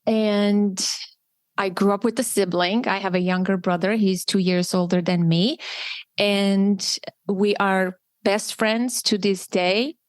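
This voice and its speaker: A woman's voice: medium pitch, steady tone, takes it slowly, no room sound. Reflective woman from Armenia, dry sound. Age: 40s. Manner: reflective, candid, with frequent restarts. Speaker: podcast host. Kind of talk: personal conversation, answering intimacy questions.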